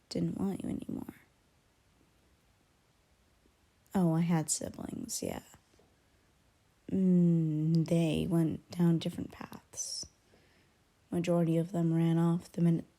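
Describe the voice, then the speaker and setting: A woman acts out a young female werewolf in an intimate ASMR roleplay. Her voice is mellow, lowish and breathy, often sinking toward a whisper. She speaks slowly and haltingly, blunt and guarded but grudgingly caring. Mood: wistful, guarded. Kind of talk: ASMR roleplay, intimate one-sided character dialogue.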